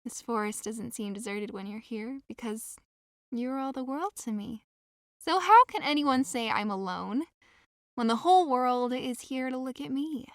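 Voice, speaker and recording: A woman's voice: fairly high pitch, normal speed, no room sound, clean studio sound, lightly acted. A woman playing a lovesick, devoted young woman in an audio drama, pleading earnestly and dramatically. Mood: adoring. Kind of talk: theatrical audio drama acting.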